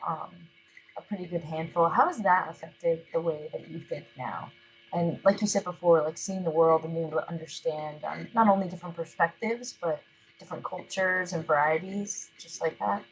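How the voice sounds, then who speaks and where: A woman's voice: on the low side, moderate pace, breathy edge, low-quality recording. A woman interviewing a guest, asking loosely phrased questions and encouraging her answers. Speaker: student interviewer. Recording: faint background noise.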